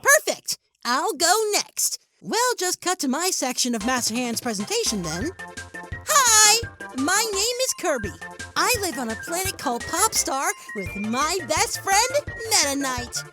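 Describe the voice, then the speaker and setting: A woman's voice: sits high, very animated, measured pace, music in the background. A woman voicing a small, childlike pink cartoon creature, perky, innocent and naively proud. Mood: proudly chirpy. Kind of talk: animated comedy acting.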